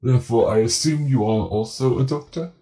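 A man voicing a courteous, eerie plague doctor entity with a formal, measured, calmly zealous manner, in an audio drama. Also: mid-range voice, faint music behind, pitched above the performer's own voice, natural voice. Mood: curious, genteel.

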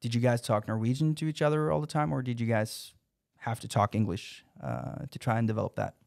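A man's voice: mid-range voice, a little fast, gravelly, darkish tone, dry recording, studio quality. A man co-hosting a podcast, asking conversational follow-up questions. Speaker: podcast co-host. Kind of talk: casual podcast interview. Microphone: desk podcast mic with headphones.

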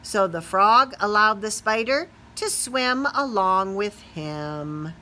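A middle-aged woman teacher reading a children's storybook aloud in a sing-song, expressive way, putting questions to young listeners. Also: mid-range voice, slow, slight background noise. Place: small classroom or office, indoors.

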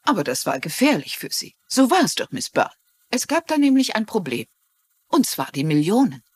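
An actress playing a shrewd, genteel middle-aged mystery writer and amateur sleuth, explaining things articulately in a German-dubbed TV mystery. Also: female voice, mid-range voice, sing-song, normal speed, breathy edge, dry recording. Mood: probing, assured. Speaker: lead character and narrator.

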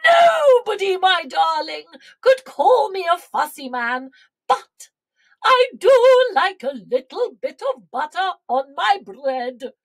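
A fussy, sulky storybook king, played by a woman, exclaims in theatrical verse. The voice is very high and swoops dramatically, like a childish monarch making a great fuss. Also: sing-song, slow, raised voice, distant mic, full character voice. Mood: delighted.

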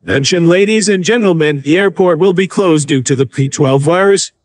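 Guy doing an airport announcer, kinda high voice, polite then urgent, bit of noise. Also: fairly quick, close to an everyday voice. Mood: formal, urgent.

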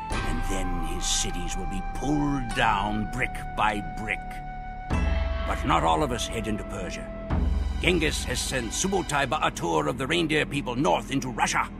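A proud Mongol warrior telling of conquests in a strategy game. His voice is fairly low and gravelly, the delivery solemn and theatrical. Music plays clearly behind him. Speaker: campaign narrator. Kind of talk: dramatic scripted game narration.

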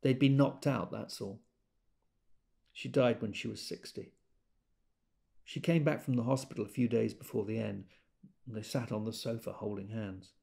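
An actor playing a wry British character in an audio drama, telling memories conversationally and quick to exasperation. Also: male voice, lowish voice, steady tone, creaky, mellow. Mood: reflective, understated. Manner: conversational, acts out quoted speech. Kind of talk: intimate audio drama monologue.

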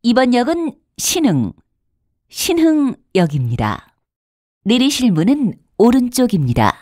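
A woman delivers scripted subway announcements. Her voice is fairly high, with very lively intonation. She is formal and polite, enunciating carefully in a very dry recording. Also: a little slow, no echo.

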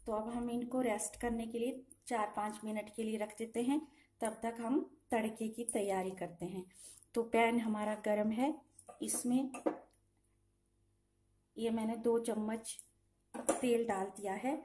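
Slow, mellow-voiced woman teaching a recipe. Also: fairly high pitch, steady tone, slight background noise. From Himachal Pradesh, India. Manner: slow, patient, instructive. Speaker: home cooking channel host. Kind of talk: step-by-step cooking demonstration voice-over. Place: home kitchen.

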